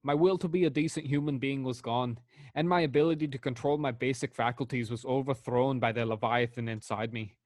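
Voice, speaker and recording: A man putting on a foul-mouthed Scottish father with a fake Scottish accent, spitting out a dramatic, outraged rant. The voice sits mid-pitch. The sound is dry and close. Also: steady tone, brisk, no echo, lightly acted. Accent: Scottish (put on). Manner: profane, dramatic ranting read. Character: angry crude Scottish dad, furious reviewer.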